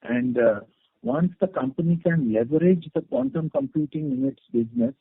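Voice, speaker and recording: A tinny phone line carries a man with an Indian accent and a husky, creaky voice. His intonation swings widely as he gives a business update to investors in halting, broken-up phrases. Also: fairly high pitch, animated, no echo. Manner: halting, choppy phrasing with frequent hesitations. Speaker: company executive. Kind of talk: semi-formal investor update.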